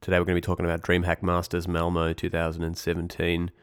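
A man's voice: low-pitched, completely flat delivery, fast, clean tone. A young man hosting a casual podcast, congested with a cold, rambling and correcting himself with wry asides. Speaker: podcast host. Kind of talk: casual esports news podcast.

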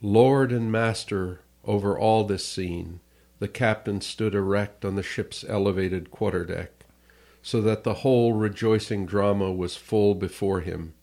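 A man reading literary narration for an audiobook, measured and phrase by phrase. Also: fairly low pitch, darkish tone.

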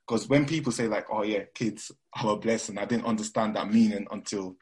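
A young man with a mixed London and Nigerian accent talks in a relaxed, rambling way, full of fillers and false starts. His voice sits fairly low and has a husky, slightly breathy grain. He sounds like a guest in a casual interview. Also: fairly quick. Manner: rambling with frequent fillers and restarts.

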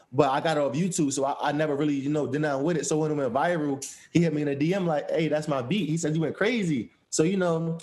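A man's voice: fairly high pitch, darkish tone. A man, a rapper, answering interview questions in a laid-back, hesitant way with many restarts. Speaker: rapper guest.

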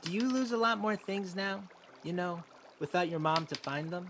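A man's voice: high-pitched, normal speed, background noise. A man playing an odd, earnest little boy. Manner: earnest, blurts things out. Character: odd, blunt young boy in a waiting room. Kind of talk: comedy radio play acting.

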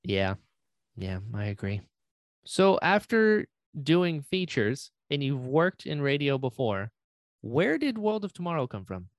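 A man's voice: medium pitch, sing-song, unhurried, no room sound. A man hosting a podcast interview in a casual, agreeable way, often speaking in short, conversational responses.

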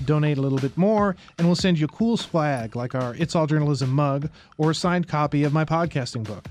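A man's voice: a little high, a little mellow. A man, hosting a podcast in a conversational interviewing style. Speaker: podcast host. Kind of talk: conversational interviewing and show outro.

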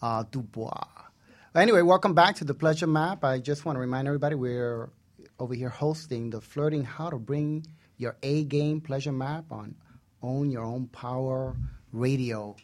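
A man's voice: mid-range voice, moderate pace. A man co-hosting a radio talk show in a casual, conversational style.

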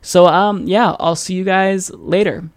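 A young man hosts a laid-back political podcast, talking in an earnest, rambling way. His voice sits a little high, and it has gone hoarse from hours of talking. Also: fairly even intonation, measured pace. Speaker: podcast host. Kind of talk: casual political interview.

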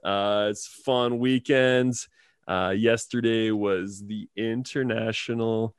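A man's voice: on the low side, fairly even intonation, slow pace, smooth, no room sound, clean studio sound. A man in his thirties hosting a casual podcast, rambling with lots of fillers and easy laughs. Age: 30s. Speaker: podcast host.

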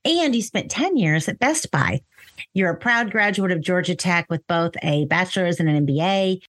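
A woman hosting a podcast interview, welcoming and enthusiastic with her guest. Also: medium pitch. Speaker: podcast host.

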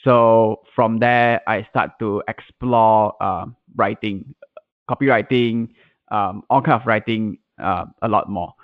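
A man's voice: lowish voice, slow pace, no echo. A man whose first language is Mandarin, answering casually as a guest in an interview. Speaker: podcast guest, content manager and blogger. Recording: tinny, band-limited internet call audio.

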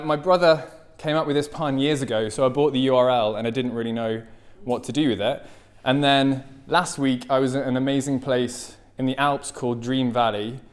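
A man hosting a podcast, introducing it informally in a conversational way. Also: medium pitch. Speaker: podcast host and interviewer. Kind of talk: informal spoken introduction and questioning.

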